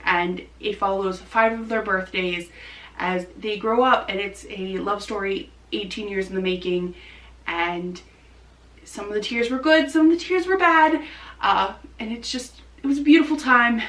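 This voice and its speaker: A woman's voice: mid-range voice, a little slow, fairly bright tone. A woman chatting casually and enthusiastically about books as a vlogger, recorded in a roomy space at some distance from the mic. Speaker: book vlogger. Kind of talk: casual book review chat to camera.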